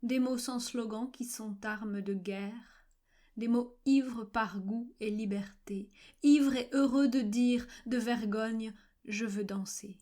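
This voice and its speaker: A woman reciting literary poetry in measured, fragmented phrases with frequent pauses, at times breathy and near-whispered. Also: a little high, fairly even intonation, dark tone. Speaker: poetry reader. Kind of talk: slow literary poetry recitation for a video poem.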